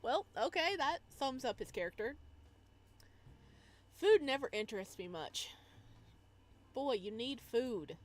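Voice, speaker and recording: A woman's voice: fairly high pitch, lively intonation, unhurried, long pauses. A woman playing through a visual novel, reading narration aloud and breaking in with casual, playful, teasing commentary. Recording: noticeable background noise.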